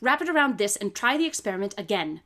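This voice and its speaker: An actress playing a brilliant, coolly confident detective who lectures with precise, theatrical diction in an audio drama. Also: female voice, mid-range voice, big pitch swings, fast, a bit bright, a little hiss or hum. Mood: probing, instructive. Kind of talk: live audio drama acting.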